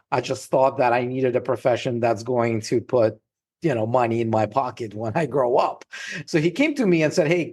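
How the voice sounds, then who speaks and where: A middle-aged man from Russia with a Russian accent, a podcast guest recounting his story in a lively, anecdotal way. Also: medium pitch. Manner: lively anecdotal, laughs while talking. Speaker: podcast guest, trademark lawyer. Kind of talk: casual storytelling interview.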